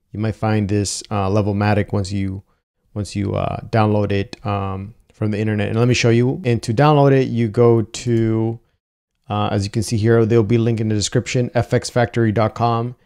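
A young man giving a casual software tutorial, talking through each step with frequent "uh" and "um" fillers, on a lapel mic. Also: fairly low pitch, clean tone. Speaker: video editing tutor. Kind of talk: screen-recorded software tutorial. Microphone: wireless lapel mic.

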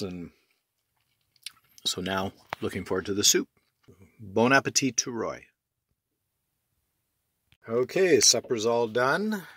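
A man chatting casually as he films his evening routine in a small sailboat cabin, relaxed, with restarts and friendly "eh?" tags. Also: on the low side, no room sound. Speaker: sailboat designer and vlogger. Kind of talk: casual how-to vlog narration.

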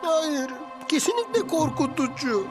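High, timid little cartoon sidekick. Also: female voice, sing-song, unhurried. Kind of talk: cartoon dubbing. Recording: loud music over the voice.

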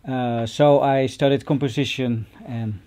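A man's voice: medium pitch, fairly even intonation, measured pace, dark tone. A man in his forties with a Dutch accent, a guest musician answering casually in an interview. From Netherlands, born in Colombia. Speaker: guest pianist. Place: indoor music studio with stage setup.

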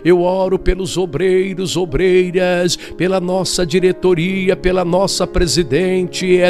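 Pastor guy praying, high voice, slow rhythmic pleading, faint music behind. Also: flat delivery, darkish tone. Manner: repetitive, rhythmic, pleading with frequent refrains. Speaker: pastor leading prayer. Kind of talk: fervent intercessory prayer.

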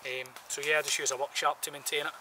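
A man's voice: on the high side, flat delivery, fast. A man, giving a casual, rambling gear review outdoors in colloquial language. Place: outdoors in a woodland camp. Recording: distant outdoor mic, some background noise.

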